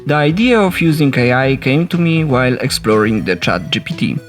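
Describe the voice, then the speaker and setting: A man's voice: mid-range voice, big pitch swings, brisk, soft background music. Polish-accented man narrating a devlog. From Poland. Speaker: solo indie game developer.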